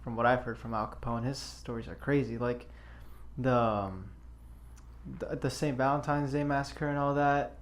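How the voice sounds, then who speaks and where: A man's voice: medium pitch, steady tone. A young adult man co-hosting a podcast, talking in a loose, rambling way. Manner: rambling, loose, quick to laugh along. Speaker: podcast co-host. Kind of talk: casual chat. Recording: faint background ambience.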